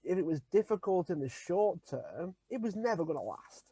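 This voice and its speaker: A man's voice: sits high, very animated, moderate pace, mellow, husky, dry recording. A man who is a podcaster, talking candidly as an interview guest and driving his points home through repetition. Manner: emphatic, builds points by repetition.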